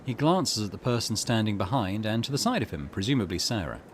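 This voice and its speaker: Low-voiced, lively man hosting a let's play. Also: fast, slight background noise. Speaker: gaming channel host. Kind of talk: let's play commentary and reading game text aloud.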